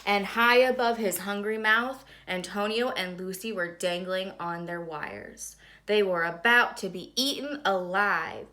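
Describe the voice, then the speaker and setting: A woman reading a children's storybook aloud in an expressive, dramatic, suspenseful way. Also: medium pitch, a little slow, few pauses. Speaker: storybook reader.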